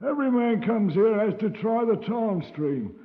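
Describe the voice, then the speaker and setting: An actor playing an ancient, superstitious country cider maker in 1950s radio style, chatty and halting. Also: male voice, high-pitched, expressive. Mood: chatty, folksy. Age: older. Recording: tinny old radio recording, cavernous reverb.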